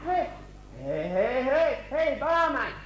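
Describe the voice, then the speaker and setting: A man playing a weary, hapless fiddler in a comic stage pantomime, complaining loudly and broadly. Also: very high-pitched, big pitch swings, slow, exaggerated voice. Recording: echoey hall with audience noise.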